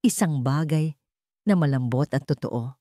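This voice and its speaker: Low-voiced Filipina narrating a romance story. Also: female voice, measured pace, dark tone, dry recording, studio quality.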